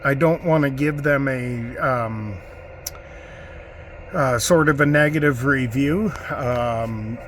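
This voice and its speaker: A man giving an unscripted gear review in a measured, halting way with deliberate pauses. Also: mid-range voice, a bit bright, slight background noise, poor audio. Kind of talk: unscripted product review talk.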